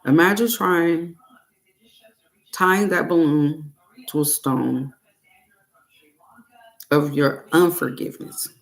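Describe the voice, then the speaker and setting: A woman reading a guided meditation in a deliberate way, breaking her phrases with long pauses. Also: low voice, creaky, low-quality recording. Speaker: meditation and self-help reader.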